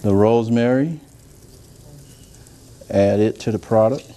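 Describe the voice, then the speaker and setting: Middle-aged man, chef host, soft-spoken and rambling, low mellow voice, faint hiss behind. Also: unhurried. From Georgia, US. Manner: soft-spoken, rambling and homey, talks while working. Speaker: chef and cooking show host. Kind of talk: unscripted cooking demonstration. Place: indoor demo kitchen set.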